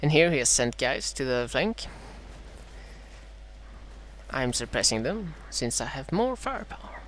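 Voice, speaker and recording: A man from Sweden with a Swedish accent and a dry throat, casting his own strategy game match in a halting way, full of "uh"s and self-corrections. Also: mid-range voice, big pitch swings, a bit bright, a little hiss or hum. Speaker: gameplay commentator.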